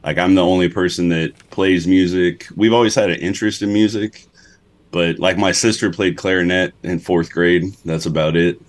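Low-voiced young guy from Alaska, easygoing guest who rambles. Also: steady tone, normal speed. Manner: laid-back, rambling, many "like"s. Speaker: podcast guest, metal guitarist. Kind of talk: casual interview chat.

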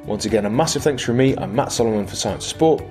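A man's voice: medium pitch, lively intonation, quick pace, dark tone, soft background music. A man hosting a podcast, delivering an upbeat scripted outro and promotion. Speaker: podcast host.

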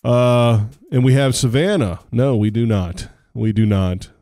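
A man's voice: mid-range voice, a little slow, mellow, dry recording, studio quality. A middle-aged American man co-hosting a podcast in casual chat, joking, sarcastic and rambling. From United States. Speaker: podcast co-host.

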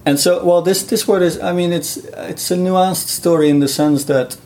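A man's voice: high-pitched, steady tone, moderate pace, a little mellow. A middle-aged man, a native Dutch speaker, explaining his linguistics research in a thoughtful interview with many fillers.

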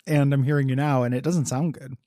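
A man's voice: mid-range voice, steady tone, fast, mellow, no echo, clean studio sound. A man co-hosting a podcast who rambles through pop-culture riffs and laughs often while chatting casually. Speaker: podcast co-host. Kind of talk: casual chat.

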